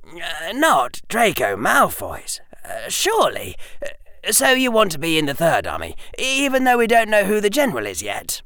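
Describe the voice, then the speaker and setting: A man's voice: high-pitched, wildly swooping pitch, takes it slowly, vocal fry, bright, forceful tone, no room sound, clean studio sound, pitched above the performer's own voice, exaggerated voice. A man voicing an earnest, precocious schoolboy wizard in a storybook, with wordy, hesitant phrasing. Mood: surprised, worried.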